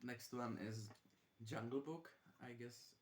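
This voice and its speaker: A young man speaks in short, halting phrases. His pitch is average, and the recording carries the echo of a roomy bedroom. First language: Czech. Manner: brief, hesitant. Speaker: co-host, boyfriend. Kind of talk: casual chat.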